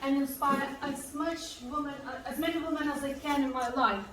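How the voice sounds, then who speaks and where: A woman from Lithuania, giving an earnest personal speech with pauses. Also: sits high, fairly even intonation, crowd noise. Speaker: speaker. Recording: echoey hall from far off.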